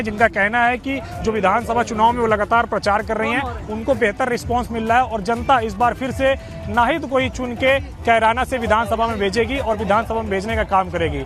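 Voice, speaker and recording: A man reporting on location for TV news, putting long, run-on election questions. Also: very high-pitched. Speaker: field reporter. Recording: music behind, outdoor street sound.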